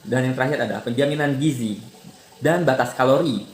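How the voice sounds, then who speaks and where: A man's voice: mid-range voice, brisk, slight background noise, low-quality recording. A man giving an academic presentation. He explains in a lecture tone, answers his own rhetorical questions and lists points in order. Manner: asks himself rhetorical questions and answers them; lists points one after another.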